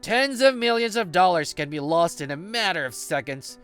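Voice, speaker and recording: A man playing an easygoing, patient office senior in an English manga dub, with an expressive, conversational delivery. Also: high-pitched, moderate pace, clean tone, faint music behind.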